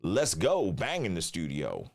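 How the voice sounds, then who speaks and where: A deep-voiced man hosts a live stream, reacting with slangy, swaggering banter. His pitch swings widely from low drawls to sudden highs. He talks loosely and casually, with profanity. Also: huge pitch swings, a little slow, creaky, a little mellow. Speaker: livestream host.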